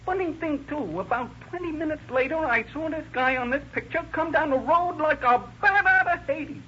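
A man's voice: extremely high pitch, moderate pace, sharp tone. A man acting a talkative small-town diner counterman in an old radio drama, with the dull sound of an old radio recording. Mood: chatty. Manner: talkative, folksy.

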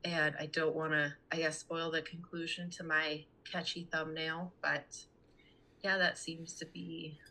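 Young woman chatting on a podcast, kinda low but bright voice, earnest and casual. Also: level tone, unhurried. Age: young adult. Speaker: podcast guest. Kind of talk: casual conversational interview talk.

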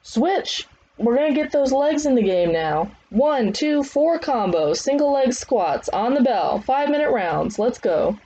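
A woman voicing a loud, foul-mouthed, demanding old combat trainer who barks commands in an audiobook. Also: mid-range voice, animated, moderate pace, husky, highs cut off. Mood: commanding. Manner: barking commands. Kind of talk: acted audiobook dialogue.

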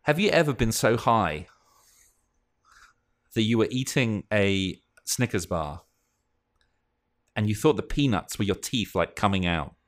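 A man's voice: lowish voice, expressive, a little slow, pauses often. A man, seemingly in middle age, talking casually as a podcast co-host. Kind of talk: casual chat.

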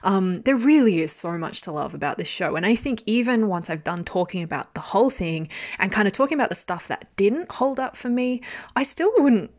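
A woman's voice: medium pitch, lively intonation, a little fast, a little mellow. A young adult woman giving a casual, rambling spoken review of a drama, full of fillers and self-aware laughter.

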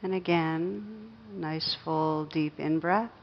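A woman teaching guided meditation, speaking gently and unhurriedly with long pauses between phrases. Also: low-pitched, muffled recording, slight background noise.

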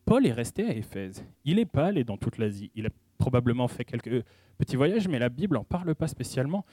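A man giving a sermon in a conversational style, pausing often and restarting his sentences as he speaks. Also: medium pitch, big pitch swings, warm tone, no room sound. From French-speaking. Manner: conversational preaching with frequent hesitations and restarts. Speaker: preacher.

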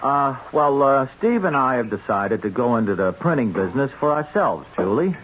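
An actor playing an evasive, easily exasperated schemer in a radio drama, explaining himself in hesitant, smooth-talking fashion. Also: male voice, medium pitch, very animated, moderate pace, fairly bright tone. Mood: evasive, placating. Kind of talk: 1970s radio drama acting. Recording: dull, faintly noisy old radio recording.

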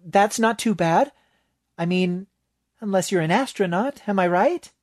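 A man's voice: sits high, a little slow, dry recording, studio quality, voice pushed up. A man putting on a flippant young sorceress. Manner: flippant, offhand. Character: breezy, reckless young woman sorceress.

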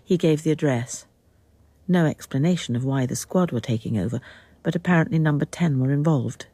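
An actress as a calm, detached narrator of a radio drama, with measured literary delivery. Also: female voice, low-pitched, no echo. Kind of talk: scripted third-person narration, radio drama.

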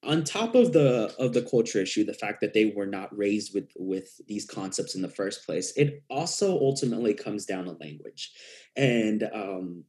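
A man's voice: lowish voice, expressive, moderate pace, a little mellow. A young man from Peru, a native Spanish speaker and risk communication researcher, answering interview questions earnestly with frequent fillers and restarts.

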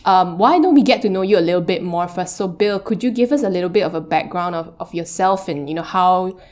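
A woman's voice: on the low side, brisk, darkish tone. A woman co-hosting a podcast, leading the questions in a friendly, casual way. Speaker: podcast co-host.

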